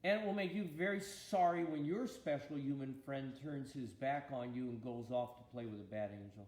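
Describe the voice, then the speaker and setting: A man's voice: mid-range voice, normal speed, a little mellow, roomy sound, close to an everyday voice. A man voicing a thoughtful, patient God who explains his plans to his angels in a storybook reading, with a reassuring manner. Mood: patient, pensive.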